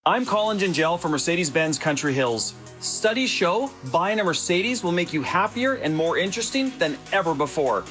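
A man's voice: high voice, expressive, moderate pace, few pauses, fairly bright tone, faint music behind. A man reading a tongue-in-cheek radio commercial, upbeat. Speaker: car dealer in a radio ad. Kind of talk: scripted commercial read.

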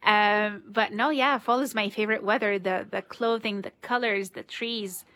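A woman's voice: medium pitch, steady tone, moderate pace, a bit bright. Bubbly woman co-host from Syria. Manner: bubbly, fillers like um and like. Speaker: radio co-host. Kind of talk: casual chat.

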